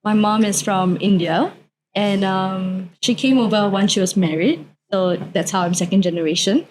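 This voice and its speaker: Young Singaporean woman, mid-pitched. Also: normal speed, a little mellow, slight background noise, rough recording. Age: 20s. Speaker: talk show guest. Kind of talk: casual chat.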